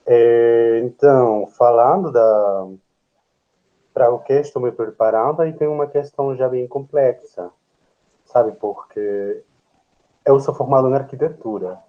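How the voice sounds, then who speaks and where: A man from Peru, speaking Portuguese slowly and searching for words on a video call. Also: medium pitch, lo-fi sound. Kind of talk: casual introduction on a call.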